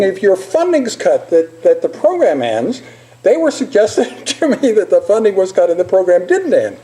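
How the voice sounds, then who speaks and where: A man giving an informal, anecdotal presentation as a physician, speaking in a halting, digressive storytelling way. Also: very high voice, dark tone.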